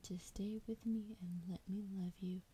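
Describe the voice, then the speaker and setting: A woman playing a lonely, ancient female dragon, tender and teasing, in a breathy, intimate whispered ASMR roleplay. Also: medium pitch, steady tone, mellow, close to an everyday voice. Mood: affectionate. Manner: slow, coaxing, affectionate. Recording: clear background noise, ambience.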